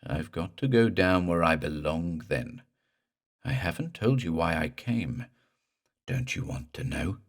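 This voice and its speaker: A dead man risen from the water speaks in a slow, gurgling, guttural drawl, as a storyteller puts him on. His voice sits very deep and menacing. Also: animated.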